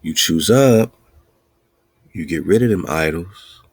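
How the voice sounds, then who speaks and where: A man's voice: deep voice, expressive, takes it slowly, no room sound. A man hosting a podcast, giving a spiritual teaching talk in a preachy way, repeating and chanting lists and laughing between points. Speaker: podcast host.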